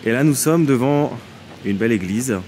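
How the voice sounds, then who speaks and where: A man's voice: medium pitch, lively intonation, normal speed, a little mellow. A man with a Parisian accent, a travel vlogger chatting and joking as he walks the city streets. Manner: chatty, jokey, laughs often. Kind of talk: casual vlog chat. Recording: street noise outdoors.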